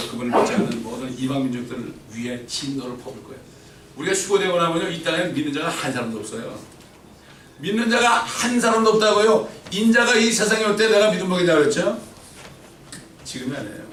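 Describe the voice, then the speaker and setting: A middle-aged man preaching a sermon, swinging between loud emphatic peaks and quiet asides and asking the congregation questions. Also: mid-range voice, slight background noise. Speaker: pastor. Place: church sanctuary. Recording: roomy church echo from far off.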